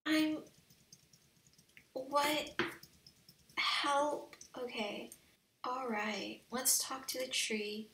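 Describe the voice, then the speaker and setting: A young woman giving casual, slangy let's play commentary on a video game in an excitable, gushing way. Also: sits high, extremely slow, gravelly, pauses often, reverberant, distant mic, music in the background. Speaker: video game streamer and channel host.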